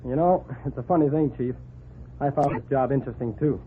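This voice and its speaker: An actor in a 1940s radio drama playing a brisk, persuasive, self-assured newspaper reporter. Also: male voice, mid-range voice, close to an everyday voice. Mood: easygoing. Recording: narrow, noisy 1940s radio recording.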